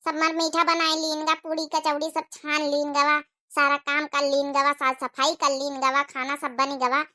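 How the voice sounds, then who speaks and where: A young village daughter-in-law in a comedy cartoon, acted by a woman. She is chatty and placating, with an Awadhi accent. Her voice is squeaky, extremely high and bright. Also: little pitch movement, normal speed. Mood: pleading. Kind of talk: exaggerated cartoon comedy dialogue.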